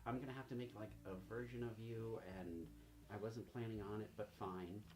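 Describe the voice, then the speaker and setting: A man playing a stubborn, smug little girl with strange powers in a tabletop game, speaking haltingly and reluctantly. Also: lowish voice, fairly even intonation, over music, close to an everyday voice. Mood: grudging.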